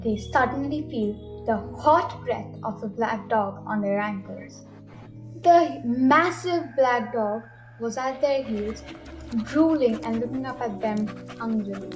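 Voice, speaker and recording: A woman's voice: on the high side, slow pace, low-quality recording. A woman reading scripted narration for a spooky student radio play. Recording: music clearly behind the voice.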